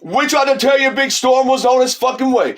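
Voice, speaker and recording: A brash, boastful hype man , acted out by a man, shouts in a raised, high voice. The delivery is bombastic and chanting, an improvised studio intro peppered with swearing. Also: a little fast. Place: recording studio.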